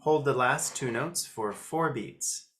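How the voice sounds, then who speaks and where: Slow-paced man teaching piano step by step. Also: mid-range voice, a bit bright, a little hiss or hum. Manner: deliberate, counting out notes and fingerings in short phrases. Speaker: piano teacher, host of video lessons. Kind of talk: step-by-step instructional demonstration. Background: piano notes.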